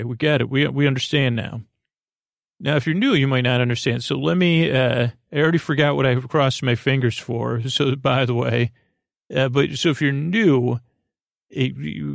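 Creaky-voiced man rambling through a bedtime podcast. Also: medium pitch, no echo, studio quality. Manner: meandering, halting, full of fillers and false starts. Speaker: podcast host. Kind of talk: rambling bedtime monologue to help listeners sleep.

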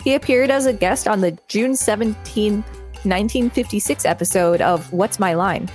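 A woman with a medium-pitched voice co-hosts a podcast. She reads from an encyclopedia article and slips into casual conversation, with faint music underneath. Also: moderate pace, a little mellow. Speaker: podcast co-host. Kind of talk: reading an encyclopedia article aloud, with casual banter.

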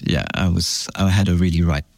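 A middle-aged man from France and Belgium, a brand founder, recalling his past in a relaxed interview with halting, reflective restarts. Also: sits low, vocal fry, no room sound. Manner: reflective, halting, warm storytelling with many restarts. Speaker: fragrance brand founder, guest. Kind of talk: casual interview chat.

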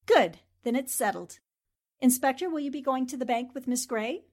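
A woman's voice: high voice, expressive, normal speed, darkish tone. A woman playing a plucky, wisecracking young newspaperwoman sleuth in a 1940s-style radio drama, with a flippant, arch way of talking. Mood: confident. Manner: talks to herself, flippant, arch.

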